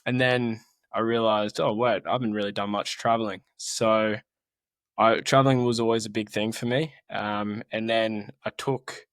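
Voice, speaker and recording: A man's voice: fairly low pitch, no room sound. A young Australian man with an Australian accent, a guest talking about his life in a casual interview, earnest and laid-back. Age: young adult. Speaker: mountaineer guest.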